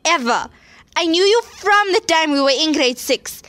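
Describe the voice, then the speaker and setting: A hurt, indignant teenage girl. Her voice is high and sits close and dry, with no room around it. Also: lively intonation, normal speed, a bit bright, no room sound. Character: betrayed teenage girl friend Fatima. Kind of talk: audio drama acting.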